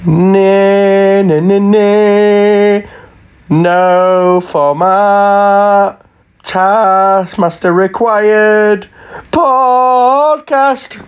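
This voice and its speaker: A man's voice: high voice, fairly even intonation, extremely slow, clear, resonant tone. A man contestant chanting an improvised theme-tune jingle on a homemade recording. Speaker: podcast contestant. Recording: faintly noisy homemade recording with clicks.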